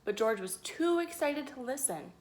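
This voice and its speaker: A woman reading a picture book aloud to young children, expressively, pausing to ask them questions and add her own asides. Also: high-pitched, expressive. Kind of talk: read-aloud storytelling with asides to young listeners.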